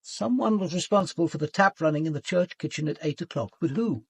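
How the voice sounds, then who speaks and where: An actor with a British accent playing a senior police detective in a radio drama, measured, formal and probing. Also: male voice, on the high side, slightly rough, dry recording. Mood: musing. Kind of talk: British radio drama acting and first-person narration.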